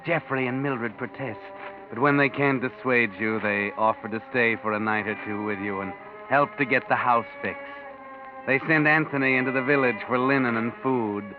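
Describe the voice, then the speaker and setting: A man plays an insinuating, ominous storyteller in 1940s radio mystery style. He speaks directly to the heroine, knowing and taunting. Music runs clearly behind him, and the recording is very narrow and tinny. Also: medium pitch, steady tone, moderate pace, lightly acted. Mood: sly, ominous. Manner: addresses the heroine directly with needling questions. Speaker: narrator.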